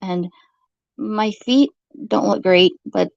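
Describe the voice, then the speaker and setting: Woman with vocal fry, argumentative and repetitive, informal monologue, dull dry sound. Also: medium pitch, dry recording. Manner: accusatory, halting, repeats phrases.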